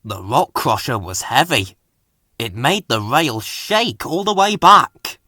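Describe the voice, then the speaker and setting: A man reading a scripted children's story aloud with expressive, dramatic narration. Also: medium pitch, slow pace, no echo, studio quality. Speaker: storybook reader.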